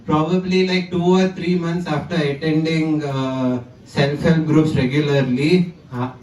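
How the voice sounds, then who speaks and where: A young man, voice a touch high, speaks to a crowd with a stammer, stopping and repeating words. He is picked up from some distance, and the sound rings around a large room. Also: flat delivery, a little slow, a little mellow, reverberant. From South India. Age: 20s. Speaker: conference speaker. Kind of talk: personal talk to an audience. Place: conference hall.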